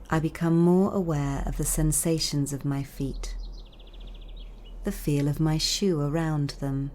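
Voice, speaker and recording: A woman narrating a guided body scan meditation in a calm, soothing, measured manner with long pauses. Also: low voice, slight background noise.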